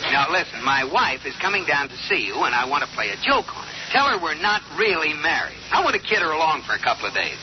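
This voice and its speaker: Noisy vintage radio sound, high male voice, very animated, teasing American sitcom husband, mock-earnest. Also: fast, few pauses. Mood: conspiratorial. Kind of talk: 1940s radio comedy acting. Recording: muffled, noisy old radio recording.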